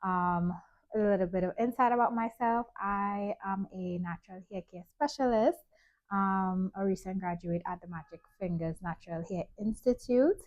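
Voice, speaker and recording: A woman's voice: mid-range voice, moderate pace, darkish tone, lo-fi sound. A woman from Trinidad who works as a hair stylist, chatting casually to camera with lots of ums and likes. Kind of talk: casual vlog chat to camera.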